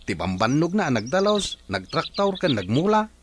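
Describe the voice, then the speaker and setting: A man's voice: on the high side, sing-song, normal speed, background noise. A man playing a friendly, concerned villager in an Ilocano comedy drama, speaking with an Ilocano accent. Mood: concerned, chatty.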